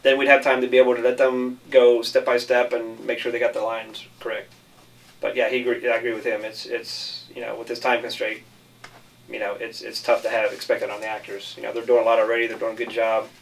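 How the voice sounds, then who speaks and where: A man's voice: mid-range voice, completely flat delivery, brisk, far from the mic, a little hiss or hum, poor audio. A man giving conversational interview answers as a member of a film crew.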